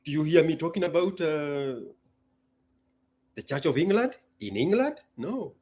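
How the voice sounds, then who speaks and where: A man from Kenya hosts a casual talk in a husky voice. His pitch moves widely as he makes his points in short, emphatic sentences, often repeating a phrase. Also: lively intonation, a little slow, a little mellow. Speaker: host. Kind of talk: casual solo talk to an audience.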